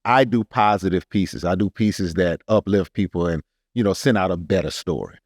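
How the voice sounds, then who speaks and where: A man's voice: low voice, normal speed, no echo, clean studio sound. A man with an American accent telling a personal story in a casual, earnest and emphatic podcast style. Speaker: podcast speaker, artist telling his story.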